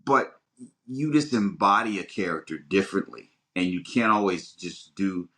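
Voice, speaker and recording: A man's voice: low voice, expressive, moderate pace, pushed and bright. A man co-hosting a podcast in casual chat, emphatic and repeating his words in bursts. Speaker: podcast co-host.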